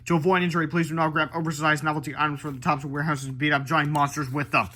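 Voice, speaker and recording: A man reading a children's book aloud as an expressive storyteller. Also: fairly high pitch, rapid-fire, a little hiss or hum. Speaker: read-aloud reader.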